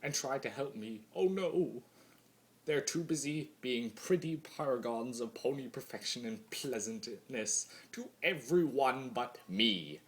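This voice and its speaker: A man's voice: medium pitch, lively intonation, unhurried. A man voicing a bitter, mocking male spirit of chaos in a theatrical, brooding, sardonic dramatic reading of fan fiction. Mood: mocking, agitated.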